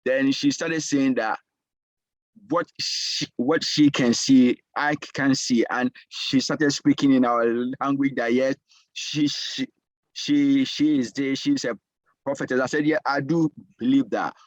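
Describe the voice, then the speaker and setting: A Ghanaian man in his fifties gives an informal talk as a social worker. He speaks at a mid pitch, stopping and restarting his phrases often. The audio is dull and dry, like a video call. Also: steady tone, no echo. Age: 50s. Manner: halting, many restarts and repeated words.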